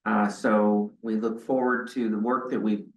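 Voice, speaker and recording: A man's voice: fairly low pitch, little pitch movement, measured pace. Man moderator in an echoey hall. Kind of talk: closing remarks. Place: hotel conference room, at a podium. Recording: echoey room, distant mic.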